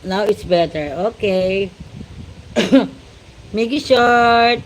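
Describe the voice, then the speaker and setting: A woman with a Filipino accent hosting a casual live stream, greeting viewers with repeated shout-outs and mixing Tagalog and English. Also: mid-range voice, drawn-out words, a little hiss or hum, poor audio. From Philippines. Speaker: livestream host. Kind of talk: casual livestream chat.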